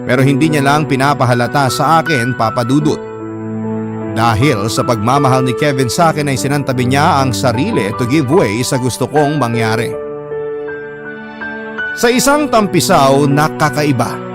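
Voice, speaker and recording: A man's voice: mid-range voice, expressive, normal speed. A man with a Filipino accent narrating a first-person confessional love story as a scripted radio drama letter. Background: music.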